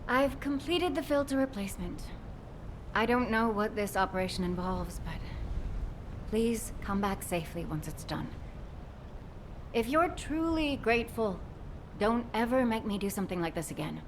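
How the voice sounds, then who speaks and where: A woman acting as a caring, protective scientist in a video game, earnest and emotionally expressive. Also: mid-range voice, moderate pace, background noise, lightly acted. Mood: worried, clinical.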